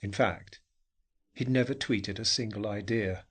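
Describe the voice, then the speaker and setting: Husky low-voiced man narrating a dark story. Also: measured pace. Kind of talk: dramatic scripted narration of a dark short story.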